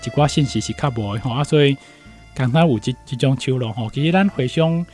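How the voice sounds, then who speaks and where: A man's voice: mid-range voice, fairly quick, a little mellow, faint music behind. A man with a Taiwanese accent gives informal commentary, retelling and explaining a story with frequent tag particles at the ends of phrases. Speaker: guest commentator, university professor.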